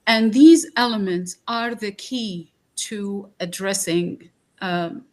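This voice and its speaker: A woman from Iraq speaks slowly and earnestly on a podcast. She leaves many pauses and fillers. Her voice sits at an ordinary middle pitch. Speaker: guest speaker. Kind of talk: reflective podcast talk.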